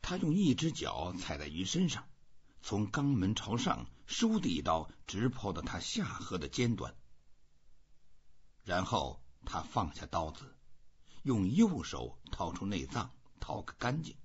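Male narrator, lowish pitch, very lively intonation, expressive literary reading, dull recording. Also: unhurried, husky. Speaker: audiobook narrator.

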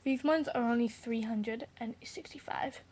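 A teenage girl joking with a friend on a bedroom webcam, playful, with a deadpan fake sales pitch. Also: moderate pace. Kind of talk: casual webcam chat. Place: bedroom at night. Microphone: laptop webcam.

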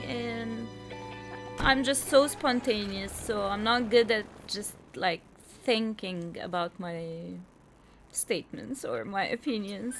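A young Egyptian woman with an Arabic accent, talking through a headset mic. She chats freely and giggles often, in a higher voice at an easy, unhurried pace. Soft game music plays in the background. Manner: chatty, spontaneous, giggly. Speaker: gaming YouTuber. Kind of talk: casual gameplay commentary.

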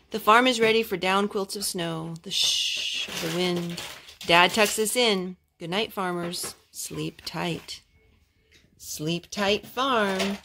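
A young woman reading a children's picture book aloud at home, phrase by phrase in a measured, careful way. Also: on the low side. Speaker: storytime host reading to her children. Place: carpeted room at home. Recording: clear background noise and clicks, homemade.

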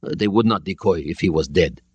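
A man's voice: sits low, brisk, a little mellow, slightly rough, no echo, lo-fi sound. A man voicing an aggressive young male Soviet attack submarine captain giving cold, clipped orders. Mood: cold, determined.

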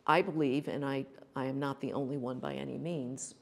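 An older woman on a forum panel, explaining policy in an earnest, measured way with some restarts, speaking into a table mic in a hall. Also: rumbling low, fairly even intonation, creaky. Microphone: table mic on a stand.

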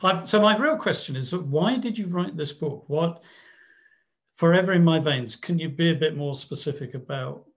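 A man's voice: a little high, moderate pace, muffled recording. A man hosting a podcast interview over a video call, asking questions and giving brief affirmations. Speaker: podcast host.

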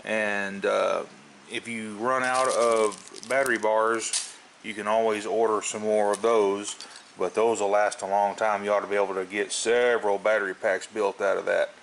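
Low-voiced man explaining over background noise. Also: moderate pace, gravelly. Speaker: host of a tool repair video channel. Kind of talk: casual hands-on tutorial talk. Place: indoors at a wooden table at home. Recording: steady background noise, home video.

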